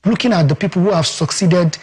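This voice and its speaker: Husky Yoruba-accented Nigerian man, higher voice. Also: quick pace, darkish tone. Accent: Nigerian English, Yoruba. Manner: emphatic, rhetorical, leans on "guess what" and "you understand". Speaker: communications expert guest. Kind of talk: studio TV interview.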